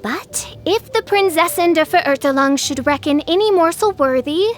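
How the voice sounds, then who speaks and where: A woman's voice: extremely high pitch, animated, normal speed, faint music behind. A woman voicing a grandiose, theatrical teenage girl who styles herself a princess, speaking in pompous archaic phrases as a video game character. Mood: lofty, brooding. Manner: pompous, archaic, dramatic royal speech.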